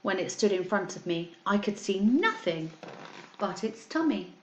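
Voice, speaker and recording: An echoey recording of a woman with a mid-pitched voice, speaking as a primary teacher to young pupils. She delivers her words in an expressive storytelling style, leaning on key words and keeping things simple and clear for children. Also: unhurried, a little hiss or hum. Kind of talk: children's story read aloud, addressing pupils. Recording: echoey, roomy sound.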